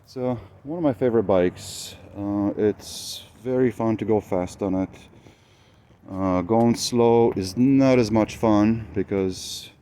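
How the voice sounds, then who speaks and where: A man whose first language is Romanian, talking through his motorcycle build in a casual, hesitant vlog, pausing often with fillers. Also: on the low side, mellow, a little hiss or hum. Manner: hesitant, many fillers, thinking aloud.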